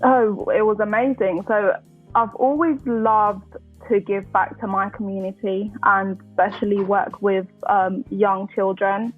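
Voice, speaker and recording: A woman's voice: mid-range voice, fairly even intonation, measured pace, soft background music. Woman in her twenties on a tinny line. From Colombia, raised in London. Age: 20s. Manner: chatty, many fillers and restarts. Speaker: podcast guest. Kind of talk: casual interview.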